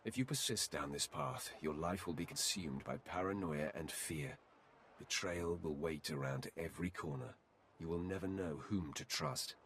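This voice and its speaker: An actor playing a Sith warrior and his solemn light-side mirror self in a video game, speaking in a measured, formal, gravelly voice. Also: rumbling low, a bit bright, slight background noise. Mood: solemn, earnest. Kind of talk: video game dialogue acting.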